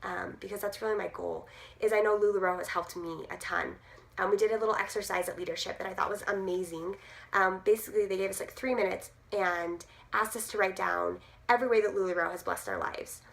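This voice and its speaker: Hoarse, gruff-voiced woman with creaky fry. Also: medium pitch, fairly even intonation, a little fast. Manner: chatty, many "um" fillers, upbeat. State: hoarse, losing her voice. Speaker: direct sales team leader. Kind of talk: casual talk to camera.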